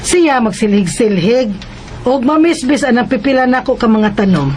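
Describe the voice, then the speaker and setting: An actress playing a busy schoolteacher who employs a student helper, in a radio drama. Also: female voice, fairly high pitch, expressive, moderate pace, a little mellow, slight background noise, close to an everyday voice. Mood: reassuring, businesslike. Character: obliging single schoolteacher employer, busy and bossy at home. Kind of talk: Cebuano radio drama acting.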